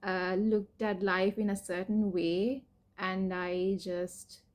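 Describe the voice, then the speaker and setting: Young woman from Sri Lanka, personal vlog, hesitant and candid with fillers, mid-pitched voice. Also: little pitch movement, slow pace. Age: young adult. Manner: hesitant, many fillers and restarts, soft laughs. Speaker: PhD student sharing her cancer story.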